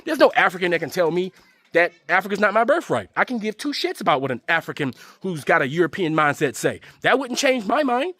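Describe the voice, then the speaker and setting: Male host for a heated commentary rant, high-pitched, dry close sound, profane and confrontational. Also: sing-song, brisk, pushed and bright, dry recording. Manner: confrontational, fires rhetorical questions; slangy, profane.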